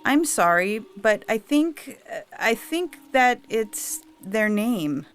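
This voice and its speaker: A woman's voice: on the high side, animated, slow. A woman playing a well-meaning, flustered secretary with a hesitant, polite manner in a science fiction audio story. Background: music.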